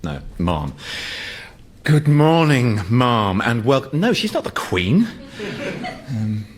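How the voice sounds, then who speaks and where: A man's voice: mid-range voice, very animated, takes it slowly. An actor playing an anxious, self-important English airline captain in his thirties in a radio sitcom, stammering and defensive. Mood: nervous, formal. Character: anxious, pompous, flustered young airline captain.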